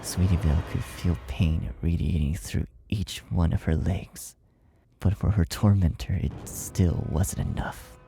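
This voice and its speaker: A man with a deep, creaky voice narrates a horror tale. He reads from a script in a dramatic storytelling style, with music clearly playing behind him. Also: fairly even intonation, unhurried. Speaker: narrator, fan fiction reader.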